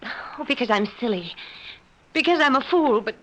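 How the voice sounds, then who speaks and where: A woman's voice: a little high, expressive, normal speed, a bit bright. A woman playing an anxious, suspicious new wife in a 1940s radio drama, speaking hesitantly and faltering over her words. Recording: noisy old-time radio recording.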